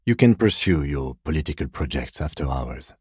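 An actor playing a weary, dry, by-the-book police detective in a video game, speaking in a measured, restrained and formal deadpan. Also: male voice, extremely low pitch, slightly rough, dry recording, exaggerated voice. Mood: dry, composed.

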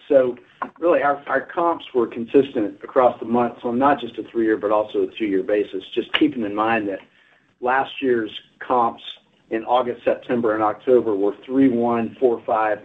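A man with a medium-pitched voice explains results as a company executive. He is on an earnings call, and the sound is narrow and muffled like a telephone. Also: breathy edge. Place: conference call. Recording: muffled conference phone line.

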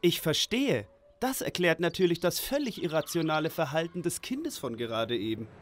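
A man playing an earnest, high-pitched do-gooder hero. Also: big pitch swings, moderate pace, slight background noise. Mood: eager, dutiful. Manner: over-earnest, exclamatory. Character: earnest, naive, goody-two-shoes male crossing-guard hero. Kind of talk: comic audio drama acting.

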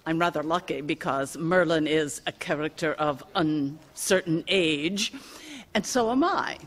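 A middle-aged woman speaking in a measured, articulate, theatrical way in an interview. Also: lowish voice. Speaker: community theater actress. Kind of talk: cast interview. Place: empty theater auditorium. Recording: reverberant theater hall.

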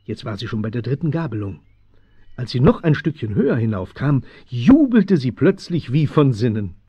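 A man's voice: mid-range voice, sing-song, moderate pace, husky. A man narrating a children's radio play as a scripted storyteller. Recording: dry, slightly dull 1970s studio recording.

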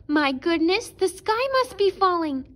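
A woman voicing an alarmed, excitable little storybook hen with urgent, insistent exclamations. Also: very high voice, measured pace, voice pushed up, heavily acted.

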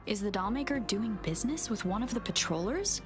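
An actress playing an inquisitive young woman wanderer in a video game, musing to herself in a thoughtful, hushed manner. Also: mid-range voice, animated, brisk, close to an everyday voice. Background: game music.

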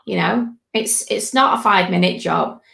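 A woman's voice: medium pitch, normal speed, gravelly, sharp tone. A woman hosting a chat on a video call with a headset, talking in a chatty, conversational way. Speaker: host. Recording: echoey room audio.

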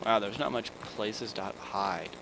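A man's voice: lowish voice, a little slow, gravelly. A boy gamer chatting casually, often crying out and grumbling when he gets killed. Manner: whiny, exclaims often. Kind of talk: casual gaming chat. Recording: noisy home mic.

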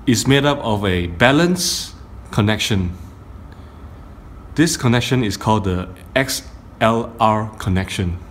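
A middle-aged man giving a step-by-step tutorial on audio gear, speaking deliberately in short phrases with pauses. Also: fairly low pitch. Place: indoor carpeted room. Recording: faint room noise, phone camera audio.

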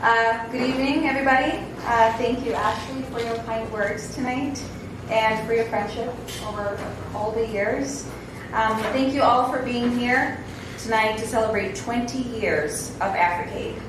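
A young woman from Tanzania, giving a prepared speech in a measured, earnest manner as a guest speaker. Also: a little high. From northern Tanzania. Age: young adult. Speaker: guest speaker, alumna and board member. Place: indoor event room at a podium. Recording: very echoey room, background noise.

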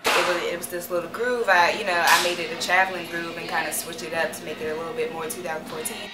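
A young American woman talks casually to camera, expressive and full of slang. Her voice sits on the low side. It was recorded in an echoey room, with loud music playing behind her. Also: fast. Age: young adult. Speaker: choreographer. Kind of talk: casual vlog talk to camera. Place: small room indoors.

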